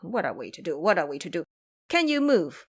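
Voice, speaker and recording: A woman's voice: fairly low pitch, big pitch swings, brisk, breathy edge, exaggerated voice. A woman voicing a cowardly, lazy male pig-man who blusters and complains in an audio drama. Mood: panicky. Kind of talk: audio drama character acting.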